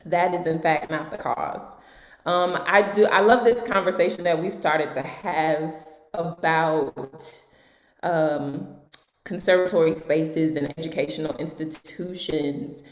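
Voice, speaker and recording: A woman with a notably low voice moderates a roundtable discussion. She speaks earnestly, in a measured way, pressing her points with emphasis. Her voice echoes around the room, as heard over a video call. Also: steady tone. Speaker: moderator, actress.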